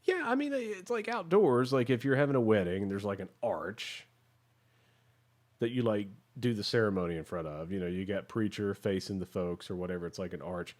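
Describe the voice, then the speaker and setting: A man co-hosting a podcast in casual chat, rambling and halting as he searches for words. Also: on the low side, lively intonation, a little mellow. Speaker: podcast co-host.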